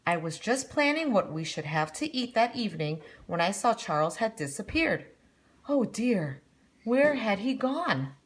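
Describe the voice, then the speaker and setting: A woman's voice: medium pitch, big pitch swings, measured pace, pitched above the performer's own voice. A woman voicing a snobbish, bossy middle-aged mother in a storybook read-aloud, haughty and commanding. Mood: haughty, disdainful.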